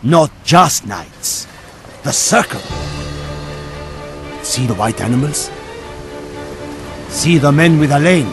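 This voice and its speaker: A man's voice: on the high side, animated, takes it slowly, long pauses. A man playing a grave, defiant Ottoman warrior in a video game cutscene, intense and portentous in his warnings. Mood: urgent, grave. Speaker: voice actor. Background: background music.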